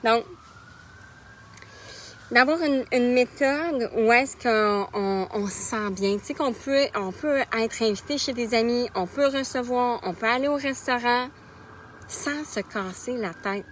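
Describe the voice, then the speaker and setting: A woman's voice: on the high side, measured pace, a little hiss or hum. A woman in her thirties with a Québécois French accent, a nutrition coach talking casually in a live stream and greeting viewers by name as she goes. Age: 30s.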